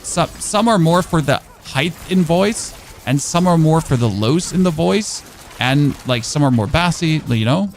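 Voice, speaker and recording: A man's voice: a little high, lively intonation, unhurried, slight background noise. A man streaming a game in casual chat, rambling and answering chat questions on a dynamic studio microphone. His first language is German.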